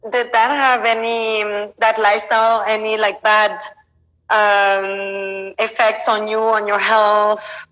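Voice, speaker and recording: A woman's voice: medium pitch, level tone, slow, a bit bright, in a large hall, rough recording. A woman hosting an interview and asking questions hesitantly, with frequent ums. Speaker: interviewer, host. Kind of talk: hosted interview.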